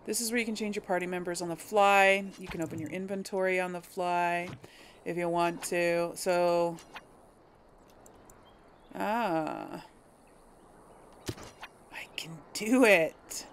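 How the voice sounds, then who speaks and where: A woman's voice: lowish voice, takes it slowly, long pauses, a little hiss or hum. A woman giving casual, chatty gameplay commentary to her viewers, thinking aloud as she plays. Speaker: let's player hosting a gameplay series.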